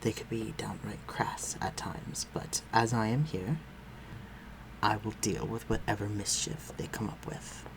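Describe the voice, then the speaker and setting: A man playing a refined, protective vampire lord in an intimate audio roleplay, speaking formally and with measured command. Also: lowish voice, fairly even intonation, noisy background. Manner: formal, measured, archaic phrasing, dropping to breathy murmurs.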